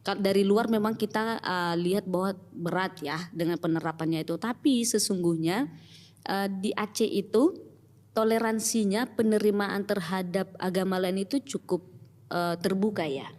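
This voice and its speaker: A middle-aged woman with a Batak Indonesian accent, a church pastoral worker speaking in a relaxed, reflective interview manner with many pauses and fillers. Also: medium pitch, in a large hall. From Batak, North Sumatra, Indonesia. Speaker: church pastoral team member, guest. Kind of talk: casual interview talk.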